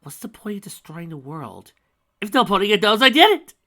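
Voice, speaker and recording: A man's voice: fairly high pitch, expressive, a little slow, bright, forceful tone, pitched above the performer's own voice, heavily acted. A man voicing a manic, bloodthirsty male alicorn villain who cackles and sneers. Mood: menacing.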